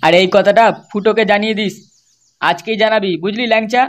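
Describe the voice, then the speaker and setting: A man's voice: high voice, steady tone, brisk, close to an everyday voice. A man voicing a bossy, foul-mouthed young club leader who scolds brashly in a comedy cartoon. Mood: bossy, scolding.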